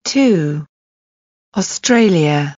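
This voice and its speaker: A woman reading short scripted lines for a language listening test, deliberate and clearly enunciated. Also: sits low, animated, a little mellow, dry recording, lo-fi sound.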